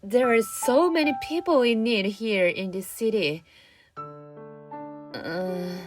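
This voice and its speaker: A woman's voice: mid-range voice, animated, takes it slowly, faint music behind. An actress playing an earnest, naive young woman investigator in a radio drama, with a hesitant way of talking. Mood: concerned, curious.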